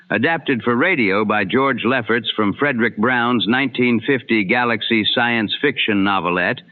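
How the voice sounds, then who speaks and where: Male narrator with narrow-band radio sound. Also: medium pitch. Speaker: announcer. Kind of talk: scripted introduction narration.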